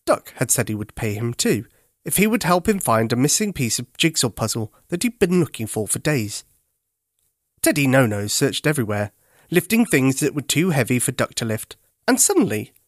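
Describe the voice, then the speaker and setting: Kids podcast storyteller, medium pitch, really animated but gentle, careful diction, no echo. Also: moderate pace, studio quality. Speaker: children's story podcast host and narrator. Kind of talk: expressive bedtime story narration.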